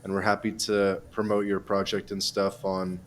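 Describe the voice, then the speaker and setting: A young male host speaks in a fairly low voice, easygoing and friendly. He chats casually and leans on relaxed filler words. A faint background hiss runs under his voice. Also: normal speed. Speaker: podcast host. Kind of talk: casual interview chat.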